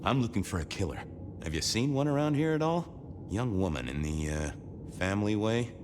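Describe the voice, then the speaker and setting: A man's voice: on the low side, lively intonation, brisk, background noise, close to an everyday voice. A man voicing a glib, theatrical vampire detective in a video game, wry and politely probing. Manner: wry, hesitant, swooping politeness. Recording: echoing reverberant hall, background music.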